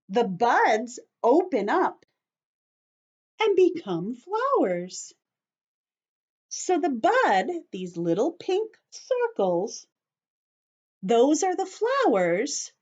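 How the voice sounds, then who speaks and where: A woman teacher reading aloud to young children and explaining as she goes, with expressive, simple phrasing, in a slightly dull recording. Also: a little high.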